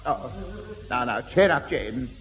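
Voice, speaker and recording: A man playing a mild, fussy, soft-hearted family friend, hesitant and placating, in a 1960s radio comedy. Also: medium pitch, animated, measured pace, close to an everyday voice. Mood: sympathetic, soothing. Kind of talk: 1960s radio sitcom acting. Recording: tinny, echoing, noisy old radio broadcast.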